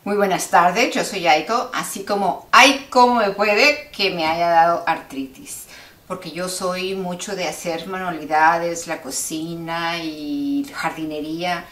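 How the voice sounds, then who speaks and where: A middle-aged woman giving casual how-to tips in a home kitchen, chatty and lively as she demonstrates with her hands. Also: lowish voice, measured pace. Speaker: cooking teacher giving tips. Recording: roomy, a bit far from the mic, faint hiss.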